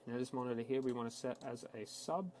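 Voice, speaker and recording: A man giving a casual, matter-of-fact, step-by-step software walkthrough, speaking unscripted like a tech support instructor. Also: mid-range voice, fast talker, slight background noise. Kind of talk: screen-recorded software tutorial, unscripted walkthrough.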